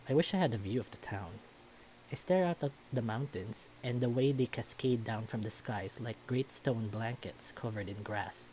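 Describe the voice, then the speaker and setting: A man's voice: mid-range voice, darkish tone, slight background noise, low-quality recording. A man reading a visual novel aloud as first-person story narration.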